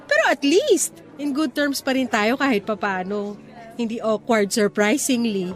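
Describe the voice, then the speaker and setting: A woman's voice: a little high, very animated, a little slow, soft background music. A woman acting a proper, playful young single woman with a Filipino accent in a radio romance drama. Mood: friendly, playful.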